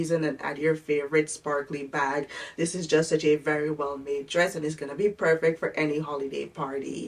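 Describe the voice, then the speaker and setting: A woman's voice: low-pitched, distant mic. A woman vlogger sounding a little nasal from a cold, chatting through a fashion try-on haul in a friendly, descriptive way.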